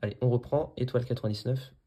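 A man's voice: mid-range voice, steady tone, fast, heavy background noise. A man chatting casually as an amateur radio operator while he demonstrates his setup. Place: small indoor room at a desk.

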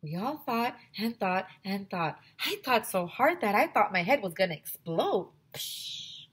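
A woman in her thirties, a teacher on a headset mic, reading a chapter book aloud to children with lively, expressive storytelling. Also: mid-range voice, unhurried, slightly rough. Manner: expressive storytelling, addresses kids directly. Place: small home room with classroom decorations.

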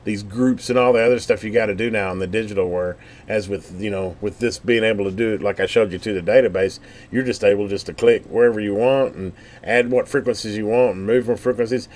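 A man's voice: fairly low pitch, fairly even intonation, brisk, few pauses, a little mellow. A man giving a casual, unscripted product demonstration in a rambling, folksy way, thinking aloud as he goes. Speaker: scanner hobbyist giving a product overview.

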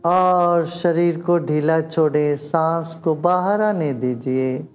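An older man with an Indian accent leading a guided meditation, speaking slowly and soothingly with long pauses. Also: a little high. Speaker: meditation teacher. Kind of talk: guided meditation in English and Hindi. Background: background music.

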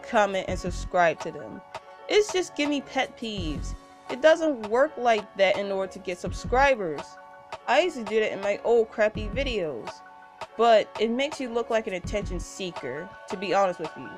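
Young woman, mid-pitched voice, scripted commentary, complaining tone, slightly stilted phrasing, music behind. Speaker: YouTuber giving a rant.

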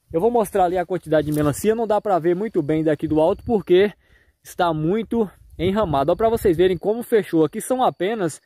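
A Brazilian man with a fairly high voice narrates a casual farm walk-through. He talks to his viewers as a farmer and host. The phone recording is outdoors and dry, without echo. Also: warm tone. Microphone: handheld phone.

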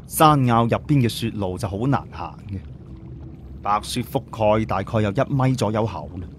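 A man's voice: lowish voice, moderate pace, a little hiss or hum. A man with a Cantonese accent narrating an audiobook story in a dramatic, scripted storytelling style.

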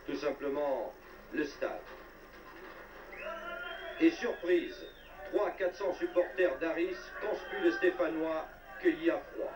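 A man's voice: on the high side, takes it slowly, long pauses, music in the background. A man narrating a scripted football report with dramatic, wry and literary phrasing. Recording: roomy, distant, noisy old TV soundtrack.

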